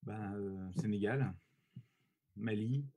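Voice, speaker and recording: A man's voice: on the low side, slow, warm tone, breathy edge. A man chatting casually as a panel guest guessing at answers. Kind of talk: casual chat.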